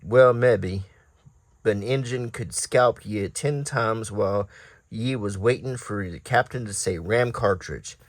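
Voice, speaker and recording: Stubborn frontier militia grumbler, done by a man reading comics, folksy rustic talk, lowish pitch, very dry sound. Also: a little slow, dry recording, natural voice. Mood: grumbling. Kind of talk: comic-strip read-aloud.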